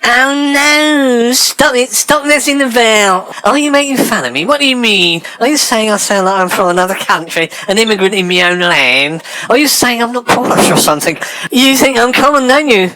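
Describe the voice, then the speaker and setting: A man playing a camp, touchy, chatty English gentleman with affected, arch airs in an old-fashioned radio sitcom style. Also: extremely high pitch, normal speed, low-quality recording. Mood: offended. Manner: affected, arch, gossipy, indignant.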